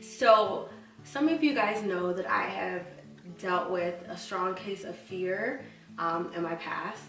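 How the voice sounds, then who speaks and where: A woman's voice: lowish voice, takes it slowly, creaky, a bit bright, roomy sound, distant mic, faint music behind, poor audio. A woman with a stutter, talking in a casual, reflective personal chat to her viewers, with fillers and restarts. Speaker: vlogger.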